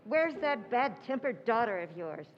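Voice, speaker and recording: A woman's voice: fairly high pitch, big pitch swings, a little slow, lo-fi sound, soft background music. A woman playing a bad-tempered old aunt in old-time radio drama style, curt and scolding. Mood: cross, curt.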